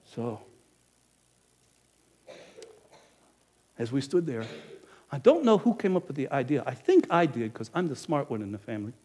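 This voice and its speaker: A man performing a greedy, self-justifying innkeeper in a first-person monologue, swinging between loud bluster and hushed confession. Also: mid-range voice, big pitch swings, fairly quick. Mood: smug. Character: greedy self-justifying male Bethlehem innkeeper, middle-aged. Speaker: storyteller performing a character monologue.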